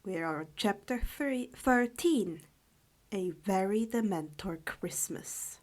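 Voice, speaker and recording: A woman speaking in a hushed, subdued voice, halting and correcting herself as she chats and reads stories aloud. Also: mid-range voice, expressive. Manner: halting, stumbling over words and correcting herself. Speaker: storyteller reading fan fiction aloud for a channel. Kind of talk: informal chat, then read-aloud narration.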